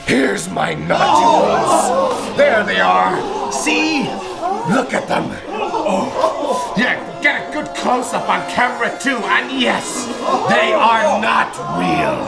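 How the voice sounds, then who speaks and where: An actor playing a vain, wounded and flamboyant TV anchor as a witness in a radio satire, petulant and melodramatic. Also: male voice, very high-pitched, wildly swooping pitch, slow pace, creaky, over loud music, over a noisy crowd, heavily acted. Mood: defiant outburst. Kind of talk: dramatic radio satire acting.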